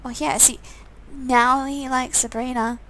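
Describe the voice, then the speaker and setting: A woman's voice: high voice, flat delivery, a little slow, a bit bright. A woman reading a visual novel game aloud, with sarcastic, amused asides in a casual style. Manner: sarcastic, amused commenting between read lines.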